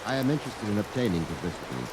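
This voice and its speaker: An actor in a 1950s radio drama playing a calm, formal male Martian who poses as a businessman, speaking in a stiff, precise, measured way. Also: fairly low pitch, noisy background. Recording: vintage radio recording under loud rain.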